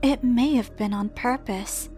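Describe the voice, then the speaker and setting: A woman playing the ghost of a dead girl in a game dub, cryptic and coldly taunting, speaking in a measured, ominous, breathy whisper. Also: on the high side, lightly acted. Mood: insinuating. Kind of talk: dramatic video game dub acting. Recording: background music under the voice.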